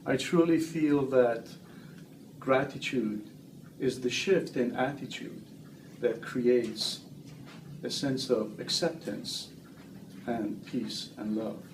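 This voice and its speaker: A man, a guest author, speaking in a slow, measured, meditative way in a casual interview. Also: medium pitch. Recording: roomy, distant mic with noise.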